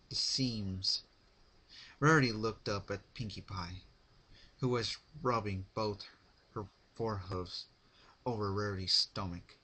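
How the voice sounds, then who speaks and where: A man's voice: lowish voice, pushed and bright, husky. A man narrating a piece of fan fiction as a dramatic reading.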